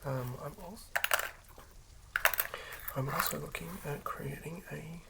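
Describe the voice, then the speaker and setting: A person whispering softly and haltingly in an unhurried ASMR style, as a host talking through and reading tabletop game material. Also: mid-range voice, steady tone. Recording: lo-fi whisper with clear background noise.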